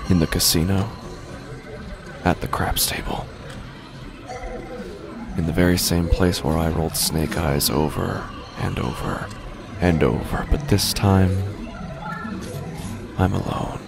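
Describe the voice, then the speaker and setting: A man playing an uneasy, haunted gambler, narrating a first-person horror audio drama in a halting, deliberate, breathy voice that often nears a whisper. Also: sits low, steady tone, exaggerated voice. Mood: horrified awe. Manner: halting, hesitant, self-repeating, slow and deliberate. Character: uneasy, haunted male gambler telling his nightmare. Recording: continuous music bed under the voice. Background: loud music.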